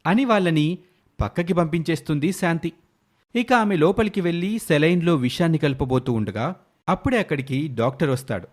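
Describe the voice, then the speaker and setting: Male narrator, moderately high voice, scripted storytelling, moral tale. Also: animated, brisk.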